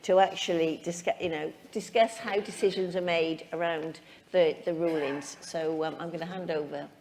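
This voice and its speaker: A woman's voice: on the low side, fairly even intonation, moderate pace, a little mellow. A woman chairing a conference, introducing the next speaker in a friendly, apologetic way. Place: conference hall.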